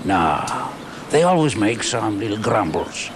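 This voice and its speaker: Cheeky old male llama, played by a man, gossipy, broken English, gravelly, on a noisy kids' TV track. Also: mid-range voice, sing-song, measured pace. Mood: chatty. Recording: noisy recording.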